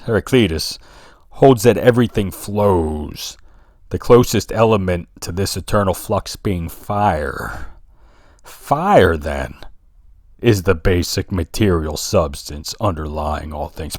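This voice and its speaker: A man reading his own philosophical essay aloud, with a noticeable regional accent and a deliberate, rhetorical delivery that addresses the listener directly. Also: low voice, a little mellow, no room sound, clean studio sound.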